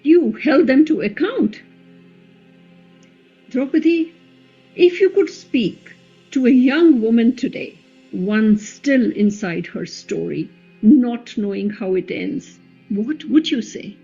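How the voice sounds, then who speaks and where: Mellow, slow, wise epic queen played by a woman. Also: fairly high pitch, lively intonation. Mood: earnest. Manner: measured, reflective. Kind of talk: dramatic play reading, radio play. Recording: muffled recording with music behind.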